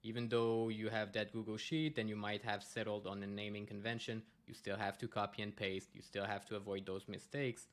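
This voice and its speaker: A man's voice: fairly low pitch, level tone, a little fast, few pauses. A man from Quebec, a native French speaker with a Québécois accent, giving a conference presentation and explaining with many fillers and restarts. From Quebec, Canada.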